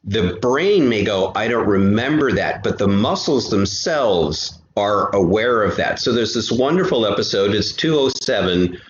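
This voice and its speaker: Low-voiced man in a roomy, noisy recording. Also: lively intonation, measured pace, hardly any pauses. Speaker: guest, audio drama creator and musician. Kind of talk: casual interview chat. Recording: roomy, dull, noisy remote connection.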